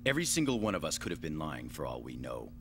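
A man voicing a stern, suspicious, authoritative young captain in an animated sci-fi dub. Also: extremely low pitch, fast, noisy background, heavily acted. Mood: commanding. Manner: authoritative, terse. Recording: reverberant dub track with music underneath.

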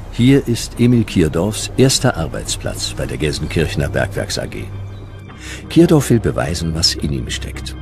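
A man narrating a scripted documentary in a measured, dramatic storytelling manner. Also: extremely low pitch, gravelly, a little mellow.

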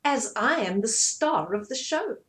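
A woman's voice: on the high side, animated, unhurried, a bit bright. A woman voicing a haughty male peacock in a children's storybook, pompous and commanding. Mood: self-important. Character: haughty male peacock, self-important star of the parade. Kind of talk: children's storybook read-aloud.

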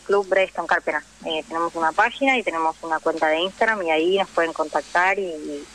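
Woman, Argentine Rioplatense accent, bright clear-cut tone, animated explanations, casual radio interview guest. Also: lowish voice, low-quality recording. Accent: Argentine Rioplatense Spanish. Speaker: interview guest, actress and theatre teacher.

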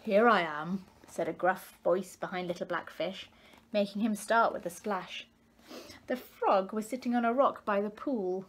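A woman's voice: mid-range voice, normal speed, husky. A woman, narrating a children's story expressively. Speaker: storybook reader. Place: living room, indoors.

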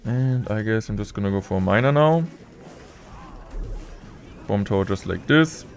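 A young man streaming gameplay from a room indoors, talking through each move in steady play-by-play with excited bursts at big plays, a little sick. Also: fairly low pitch, moderate pace, faint music behind. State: slightly sick. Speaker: game streamer. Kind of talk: live gameplay commentary.

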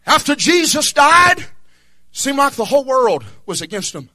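A young man preaching a fervent revival sermon, shouting and half-singing his lines with calls of amen and hallelujah. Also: extremely high pitch, big pitch swings, normal speed. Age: young adult.

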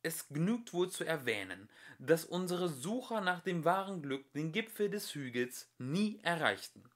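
A man reading a storybook aloud as an amateur audiobook narrator, with expressive, theatrical emphasis. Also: on the high side, measured pace.

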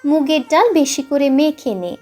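Lady doing a doting village auntie, coaxing, high pitched, storybook, music behind. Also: animated, a little slow, mellow. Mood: affectionate, coaxing. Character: doting, generous village aunt serving food. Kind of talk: audiobook character voice. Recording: music under the voice.

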